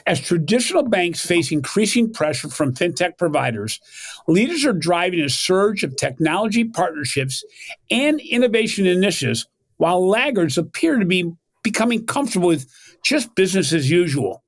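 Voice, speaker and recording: A man hosting a banking podcast, reading a scripted introduction with emphatic, animated delivery and then asking a guest questions. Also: high voice, moderate pace. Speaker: podcast host.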